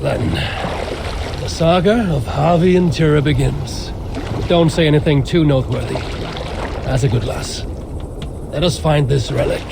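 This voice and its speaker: Gravelly, commanding Norse god. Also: male voice, fairly high pitch, measured pace, long pauses, noisy background. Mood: wry. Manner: terse, imperious, wry. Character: gruff, commanding Norse god, a grieving father on a quest. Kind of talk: video game dramatic acting. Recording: game music behind the voice.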